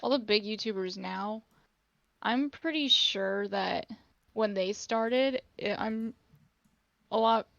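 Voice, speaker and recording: A woman co-hosting a casual podcast, hoarse from a sore throat, talking haltingly. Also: mid-range voice, a bit bright, no room sound, muffled recording. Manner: halting, lots of likes. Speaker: podcast co-host. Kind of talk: casual chat.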